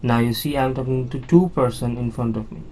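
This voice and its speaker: A man's voice: medium pitch, fairly even intonation, fairly quick, a little mellow, slight background noise. A man teaching a beginner language lesson, explaining slides patiently and repeating words with frequent "now" and "okay?".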